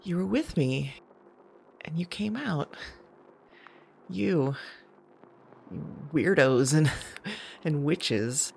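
A woman acting a searching, anxious fugitive visionary who talks to spirits, halting and correcting herself in an audio drama. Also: low-pitched, expressive, vocal fry, darkish tone, slight background noise, full character voice. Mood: searching, emotional. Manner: halting, self-correcting, repeats words. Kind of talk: naturalistic audio drama monologue.

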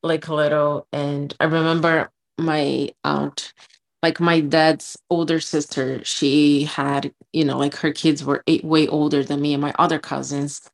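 Low-voiced woman in her thirties. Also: a little slow, gravelly. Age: 30s. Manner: storytelling, animated, frequent "like" and "you know". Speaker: podcast co-host. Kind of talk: casual podcast chat.

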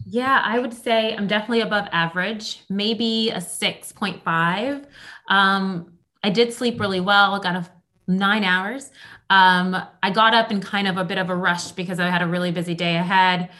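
A woman's voice: medium pitch, steady tone, normal speed, pushed and bright. A woman speaking as a guest at a live fireside chat, chatty and upbeat with many fillers like um and kind of.

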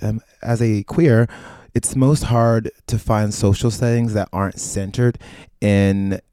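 A man hosts a relaxed podcast chat, talking in an animated way with playful asides. His voice sits low and sounds soft and mellow. The recording is dry and close. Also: slow, clean tone, no room sound. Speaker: podcast host. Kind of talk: casual conversational interview.

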